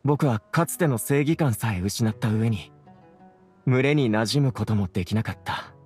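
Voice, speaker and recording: An actor playing a gentle, self-doubting young man in an anime game, earnest, soft-spoken and reflective. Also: medium pitch, moderate pace, breathy edge, faint music behind, lightly acted. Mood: remorseful. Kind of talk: anime video game voice acting.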